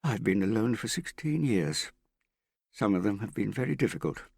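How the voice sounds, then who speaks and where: A man's voice: on the low side, sing-song, normal speed, creaky, darkish tone, breathy edge, no echo, voice pushed up, heavily acted. A man voicing a vain, theatrical middle-aged father in an audiobook, wheedling and self-pitying with a pompous, affected way of talking. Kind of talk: audiobook character dialogue.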